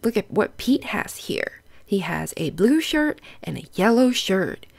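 A woman's voice: mid-range voice, sing-song, a little slow, vocal fry, slightly rough. A woman hosting a children's storybook read-aloud, explaining things in simple, instructive phrases and putting questions to young listeners.

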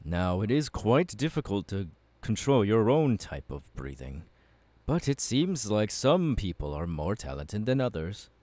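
Guy doing a fiery anime swordsman mentor for asmr roleplay, lowish voice, takes his time, dramatic pauses. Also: very animated, a little mellow, no echo. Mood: welcoming, instructive. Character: confident, fiery swordsman mentor, male anime demon slayer. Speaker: voice actor. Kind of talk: ASMR audio roleplay, addressing the listener.

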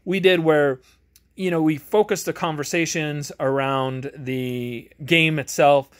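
A man's voice: fairly high pitch, steady tone, a little slow, clear, resonant tone. A man giving a casual, rambling game review to camera in an earnest, conversational, enthusiastic manner, recorded in his home garage. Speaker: amateur tabletop game reviewer and host.

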